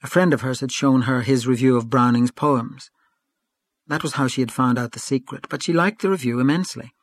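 Measured male audiobook narrator, dry close sound. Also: mid-range voice, no room sound. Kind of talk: audiobook reading of literary fiction.

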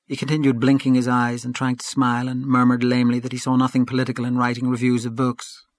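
A male narrator reads literary fiction in a measured, even way, his pitch sitting in a middle register. The recording is close and dry with no room sound. He keeps a calm, steady storytelling pace. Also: no echo. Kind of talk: audiobook reading of literary fiction.

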